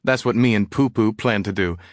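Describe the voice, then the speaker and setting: A man's voice: fairly low pitch, a little fast, no room sound, clean studio sound, pitched above the performer's own voice, natural voice. Man putting on a flamboyant Southern gossip. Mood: gossipy. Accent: Southern US drawl. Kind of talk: audiobook character voice.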